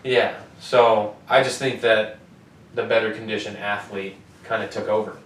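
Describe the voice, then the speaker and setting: A man's voice: on the low side, steady tone, normal speed. A young man from the US co-hosting a casual sports podcast, loose and profane, with frequent joking. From United States. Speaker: podcast co-host. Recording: roomy, distant mic with faint noise.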